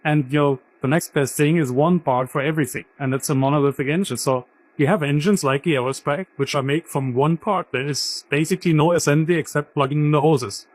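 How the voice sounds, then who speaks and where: A man with a slightly high voice gives a casual technical explanation. He speaks off the cuff, as an engineer would in an interview. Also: brisk.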